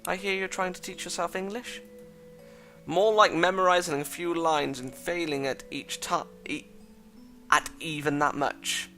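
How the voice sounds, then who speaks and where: A man's voice: fairly high pitch, fairly even intonation, a little slow, sharp tone. A man reading a thoughtful teenage schoolboy's lines and inner narration in a plain, reflective way, with faint music behind.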